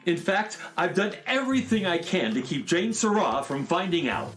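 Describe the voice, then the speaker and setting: An actress playing an earnest, protective guardian in a children's radio drama. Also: female voice, lowish voice, sing-song, normal speed, loud music behind the voice. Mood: earnest, defensive.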